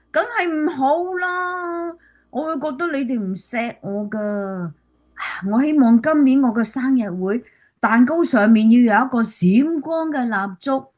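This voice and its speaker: A woman's voice: on the high side, close to an everyday voice. A woman playing a sweet, affectionate young granddaughter in a radio drama, childlike and earnest.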